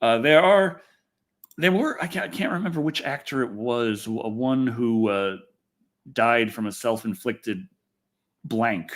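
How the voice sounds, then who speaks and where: A man with a medium-pitched voice hosts a casual livestream. He rambles through his thoughts in a halting way, doubling back on phrases. His wording is blunt and informal. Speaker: podcast host. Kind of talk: casual livestream chat on film news.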